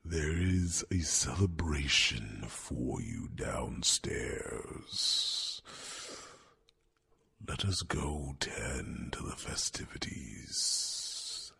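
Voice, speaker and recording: A man voicing an old, wise male dragon mentor in an ASMR fantasy roleplay, slow and gently teasing with soft chuckles and breathy whispers. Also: wildly swooping pitch, heavily acted. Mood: encouraging. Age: older. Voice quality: deep gravelly voice with breathy whispers.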